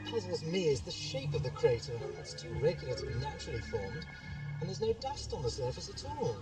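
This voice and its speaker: A man's voice: on the high side, sing-song, fairly quick. A man playing a curious, eccentric time-travelling scientist in an audio drama, wordy and wry as he explains things. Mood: curious, musing. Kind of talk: 1980s amateur audio drama acting. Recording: distant voice under loud music.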